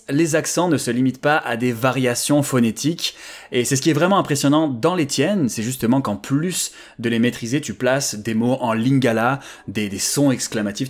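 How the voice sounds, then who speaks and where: Male host, interviewer, mid pitch, eager, approving. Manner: enthusiastic, reacts with quick approval. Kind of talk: interview questions.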